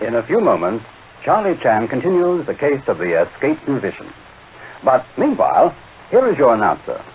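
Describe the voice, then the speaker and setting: A man's voice: medium pitch, huge pitch swings, normal speed, faint music behind. A man as the announcer of a 1940s radio serial, reading scripted narration in an emphatic, theatrical way. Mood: dramatic. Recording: noisy, tinny old radio recording.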